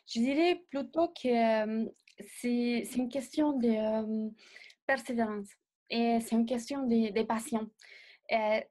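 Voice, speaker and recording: A young woman, not a native speaker of the language, answering interview questions as a designer in a measured way and often pausing to find words. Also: on the high side, warm tone. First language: not French. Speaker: designer guest.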